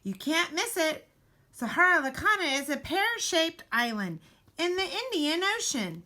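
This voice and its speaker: A woman reads a children's picture book aloud in a high, bright voice. Her delivery is slow and very animated, with dramatic emphasis and deliberate pauses. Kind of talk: expressive children's storybook read-aloud.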